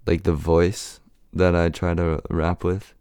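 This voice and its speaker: A young man rapper answering interview questions in a raspy, deep voice, halting with many fillers. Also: level tone, warm tone, no echo, clean studio sound. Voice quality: raspy and deep right now.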